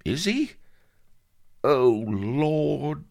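A man's voice: on the high side, big pitch swings, very slow, creaky, darkish tone, voice pushed up, full character voice. A man voicing a fretful, indignant little agent with a quavering, muttering manner in a comic storybook reading. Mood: doubtful, dismayed. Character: fretful little middle-class agent, flabbergasted, squeaky and whining.

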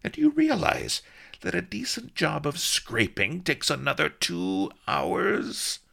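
A man's voice: fairly high pitch, wildly swooping pitch, slow, creaky, pushed and bright, voice pushed up, full character voice. A man voicing a fat, overworked executioner who complains indignantly about his workload. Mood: aggrieved. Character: fat grumbling male executioner, aggrieved, overworked.

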